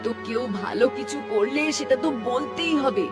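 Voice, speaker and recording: A woman's voice: high-pitched, expressive, moderate pace. A woman voicing a worried, nagging village mother in a cartoon, chiding and plaintive. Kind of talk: Bengali cartoon dialogue. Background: music.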